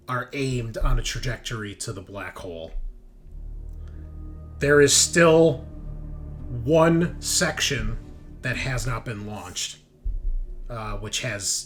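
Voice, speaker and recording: A man improvising narration as game master of a tabletop game, haltingly, thinking aloud. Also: medium pitch.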